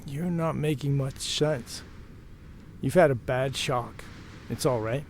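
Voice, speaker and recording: A man's voice: mid-range voice, slow, slight background noise. A man playing a frank, protective married mill worker and former lover in an audio drama, talking in a plain, reassuring, conversational way. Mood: calming, puzzled.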